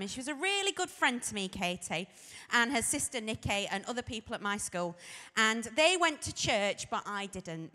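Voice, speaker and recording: Chatty Sheffield woman vicar, echoey hall. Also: on the high side. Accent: Sheffield English. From Sheffield, England. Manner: chatty, self-mocking, animated storyteller. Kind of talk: humorous personal testimony to a youth audience. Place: large hall stage. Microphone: handheld microphone.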